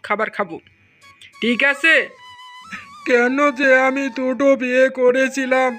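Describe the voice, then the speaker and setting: A man plays a put-upon village fisherman in a cartoon fairy tale. The voice is very high for a man and bright, with little rise and fall, complaining and scolding over music. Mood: wailing, self-pitying. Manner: complaining, scolding, plain rustic speech. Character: exasperated, put-upon village fisherman with two quarrelling wives.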